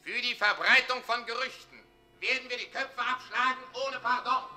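An actor playing an officious town crier in a radio play, declaiming bureaucratic proclamations. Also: male voice, very high-pitched, moderate pace, pushed and bright, heavily acted. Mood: menacing, commanding. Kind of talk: German radio play acting. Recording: roomy distant radio play, music behind.

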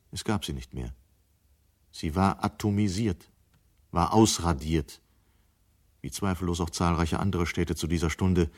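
A man narrating a 1970s German radio play in a grave, deliberate, dramatic manner. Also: low voice, darkish tone. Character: grave male storyteller of a city's destruction.

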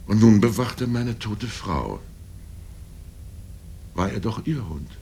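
Older guy w/ a really low voice reading a literary memoir, grave n deliberate, bit of rumbly noise behind. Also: expressive, vocal fry, darkish tone. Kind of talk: literary first-person prose reading.

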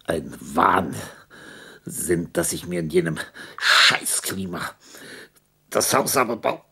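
An actor playing a dying old composer in a radio drama, bitter and grandiose, with a frail, gravelly voice and halting rants that break into cackles and whispers. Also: male voice, low voice, expressive, pushed and bright, full character voice. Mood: grumbling. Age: older.

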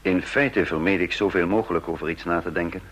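An actor playing a wry, introspective spy living under a false identity, narrating and talking in a measured, reflective way in a radio play. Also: male voice, sits low, fairly bright tone. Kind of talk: first-person narration and dialogue in Dutch radio play.

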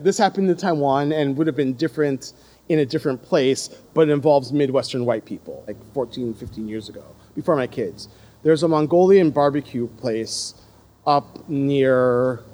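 A man's voice: on the high side, a little mellow. A man in his thirties from Los Angeles with an American accent, telling personal stories casually, often laughing and restarting his sentences. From Los Angeles, USA. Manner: laughing, self-deprecating, many restarts.

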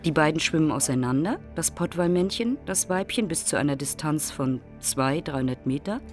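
A man's voice: on the high side, animated, normal speed, soft background music. An older man, a former seaman, recalls the sea in spontaneous, discursive and marvelling speech full of vivid description. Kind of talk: spontaneous spoken reminiscence in a radio feature.

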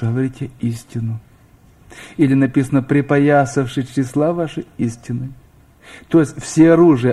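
Mellow, husky-voiced male preacher. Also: medium pitch. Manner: addresses listeners directly with questions, rising emphasis. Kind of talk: sermon to a congregation.

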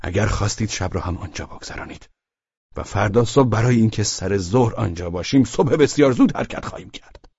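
A man voicing a brisk, commanding gentleman who speaks insistently and persuasively. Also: fairly low pitch, animated, creaky, slightly rough, no room sound, highs cut off, voice pushed up, heavily acted.

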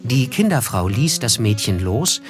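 An actor playing an observant, wry male narrator in a radio drama, speaking in a measured, restrained literary manner. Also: mid-range voice, sing-song, music in the background. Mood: reflective, measured.

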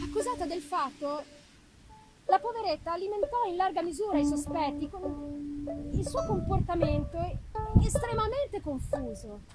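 A woman giving a solemn, deliberate dramatic narration of a gothic novel, with live music behind her. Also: high voice, rough recording. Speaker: reader.

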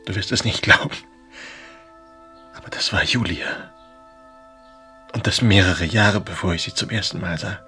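An actor playing a melancholy, haunted writer confiding in a friend by letter in a German radio drama, intimate and brooding. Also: male voice, sits low, moderate pace, pauses often, sharp tone, faint music behind. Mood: mysterious, tender.

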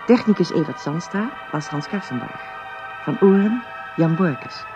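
A man's voice: high-pitched, animated, measured pace. A man formally reading scripted credits as the announcer of a radio play. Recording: dull radio recording, music behind.

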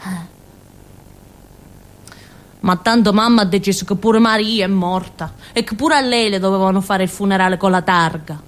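A woman's voice: mid-range voice, moderate pace, pauses often, lightly acted. An actress playing a naive, simple-minded young village woman in a theatrical monologue, with a southern Italian Calabrian accent and a rambling, childlike way of talking full of little grunts. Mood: earnest chatty, then naive, secretive. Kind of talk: theatrical first-person monologue in colloquial dialect-tinged Italian.

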